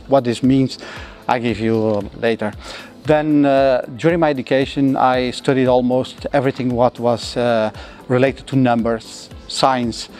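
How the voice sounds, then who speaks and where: A middle-aged man with a Flemish accent speaks at a medium pitch, reflecting slowly and stopping often for "uh" as he searches for words. His phrasing is careful and a bit non-native. Faint music plays underneath. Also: a little mellow. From Belgium (Flanders). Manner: halting, frequent "uh" pauses, non-native phrasing. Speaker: interviewee, entrepreneur. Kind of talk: reflective interview answers.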